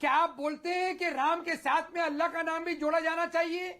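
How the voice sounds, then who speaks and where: A man's voice: very high-pitched, steady tone, a little fast, sharp tone. A man on a television debate panel, insistent and pointed as he rebuts the other side. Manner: insistent, keeps demanding a turn to reply.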